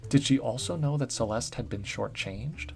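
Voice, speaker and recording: Male narrator, steady storytelling read, mid pitch, light music underneath. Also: normal speed, vocal fry. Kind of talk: reading a story aloud.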